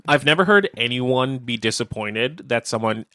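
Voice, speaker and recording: Male co-host, medium pitch, agreeable and measured conversational delivery, dry close recording. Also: lively intonation, pushed and bright, no echo. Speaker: radio show co-host. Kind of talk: casual chat.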